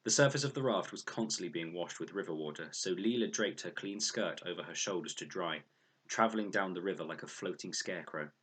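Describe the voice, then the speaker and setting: Deep, husky-voiced male storyteller. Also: a little fast, a bit bright. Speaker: storyteller reading aloud. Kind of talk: expressive children's audiobook narration.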